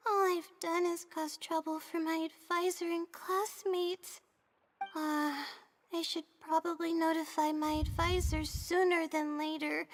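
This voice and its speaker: Casting: very high, girlish game character, an exhausted student, slow, hesitant and full of self-doubt. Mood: self-doubting, fretful. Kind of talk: video game voice acting. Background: game music.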